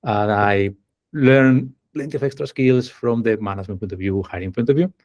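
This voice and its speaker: A man's voice: mid-range voice, normal speed, darkish tone, muffled recording. A man from Spain with a Spanish accent, an engineering manager giving an online presentation over a video call and explaining step by step while putting questions to his audience.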